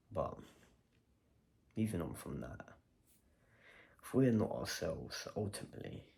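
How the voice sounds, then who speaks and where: A man's voice: sits low, gravelly, darkish tone. A man vlogging a personal video diary, talking in a reflective, rambling way and questioning himself as he goes.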